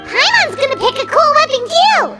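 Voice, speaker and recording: A woman voicing a small, excitable, cheeky childlike fairy companion in an anime video game, with a squeaky voice. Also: normal speed. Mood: eager. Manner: refers to self in third person, exclamatory. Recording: loud children's-style music behind.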